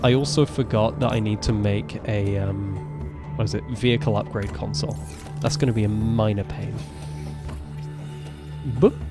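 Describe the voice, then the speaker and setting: A man's voice: steady tone, normal speed, long pauses, over loud music. Chatty young man streaming a game. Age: 20s. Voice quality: naturally deep voice. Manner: chatty, rambling, self-correcting. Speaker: gaming streamer. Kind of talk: casual live gameplay commentary.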